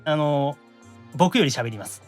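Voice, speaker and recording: A talkative man with a Kansai accent hosting a podcast in lively comedic banter, quick with teasing retorts. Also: on the high side. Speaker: radio show host. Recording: studio voice over background music.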